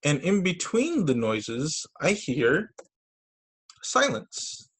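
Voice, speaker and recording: A man reading children's picture books aloud as a story time teacher, in an expressive, sing-song storytelling manner with short asides, over a video call. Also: a little high, very slow, slight background noise.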